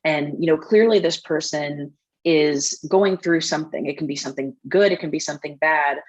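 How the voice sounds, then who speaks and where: Low-voiced woman giving advice. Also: normal speed, dull audio. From United States. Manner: earnest, thoughtful, restarts often. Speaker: podcast guest, sales manager. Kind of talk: casual interview talk.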